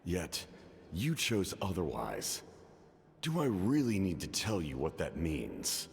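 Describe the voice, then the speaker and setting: A man playing a cunning, cold, commanding officer in a video game, speaking in a measured, guarded, formal way. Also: wildly swooping pitch, long reverb tail, full character voice. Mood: stern, probing. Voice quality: very deep, gravelly. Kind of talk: dramatic video game voice acting.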